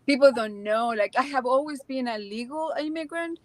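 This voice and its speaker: A woman from Chile with a Chilean Spanish accent, chatting casually as a live interview guest in an emphatic, expressive way. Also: sits high, moderate pace, dry recording. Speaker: interview guest, video channel creator.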